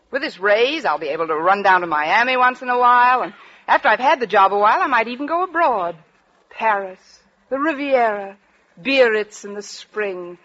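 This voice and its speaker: An actress playing a wry, underpaid high school English teacher in a 1940s radio comedy, with dry, wisecracking delivery. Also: female voice, a bit bright, close to an everyday voice. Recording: dull vintage 1940s radio recording.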